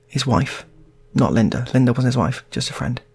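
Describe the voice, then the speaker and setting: A man's voice: mid-range voice, lively intonation, normal speed, vocal fry, darkish tone, husky. A man telling an improvised story in a casual, rambling way, often repeating his phrases. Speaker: storyteller, channel host.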